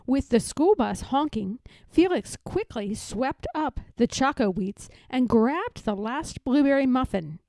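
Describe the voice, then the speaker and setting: A woman reading a children's picture book aloud in an expressive, deliberate storytelling style. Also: high-pitched, dry recording.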